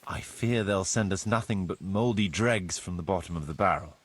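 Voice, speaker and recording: An actor playing a grave, pessimistic medieval steward who speaks formally in a video game. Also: male voice, low-pitched, normal speed. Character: male medieval steward bringing counsel, gloomy and pessimistic. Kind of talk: video game cutscene acting.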